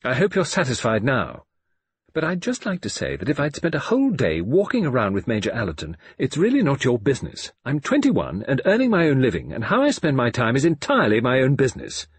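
A man voicing a defiant twenty-one-year-old woman who speaks in a sharp, indignant, curt way. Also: mid-range voice, wildly swooping pitch, fairly quick, slightly rough, dry recording, voice pushed up.

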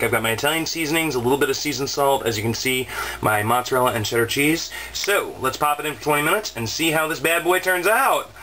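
A man's voice: mid-range voice, bright, forceful tone, slight background noise, poor audio. Chatty man cooking in his kitchen. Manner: chatty, self-mocking, playful asides. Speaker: home cooking vlogger. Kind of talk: casual cooking demonstration. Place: small home kitchen, indoors. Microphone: handheld camera mic, close. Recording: home camera with kitchen clatter.

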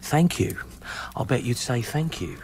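An actor playing a chummy yet dangerous British scavenger in a radio drama, in clipped, sardonic, mock-friendly phrases. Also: male voice, medium pitch, animated, a little slow, a little mellow, slight background noise, lightly acted. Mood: chummy, controlling.

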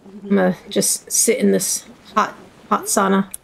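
A woman with a husky, creaky voice, chatting playfully while gaming. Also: mid-range voice, steady tone, measured pace, rough recording. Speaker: gamer in group session. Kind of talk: casual playful gaming chat.

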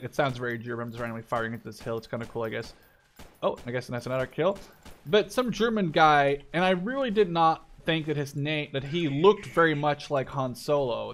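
A man's voice: on the high side, slight background noise. A man in his twenties giving casual, unscripted gameplay commentary, rambling and swearing freely as he shares his opinions. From Canada. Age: 20s. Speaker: gaming video creator.

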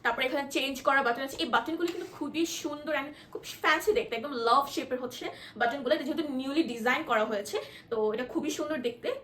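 High-voiced Bangladeshi woman demonstrating a product. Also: a bit bright. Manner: halting, explanatory, with English words mixed in. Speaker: product presenter. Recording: echoey room with background noise.

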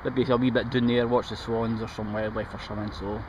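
A man's voice: lowish voice, flat delivery, fairly quick. Chatty Scottish man cycling outdoors. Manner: chatty, rambling, pointing things out. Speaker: vlogger. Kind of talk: casual commentary while cycling. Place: outdoors on canal paths and roadsides. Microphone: action camera held or mounted on the bike. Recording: outdoor camera audio with traffic and wind.

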